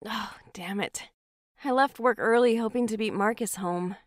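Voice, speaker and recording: A woman's voice: a little high, normal speed, no echo, clean studio sound, lightly acted. A woman playing a friendly, slightly flustered young working woman in an audio drama, polite and a little hesitant. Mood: curious.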